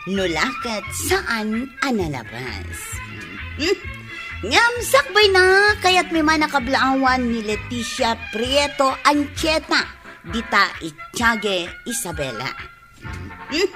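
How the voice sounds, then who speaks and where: A woman announcer introducing a radio drama and reading its credits. Her high voice swoops theatrically and takes its time. Loud theme music plays behind her. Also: big pitch swings, slow pace. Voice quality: bright, theatrical. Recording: radio broadcast over music.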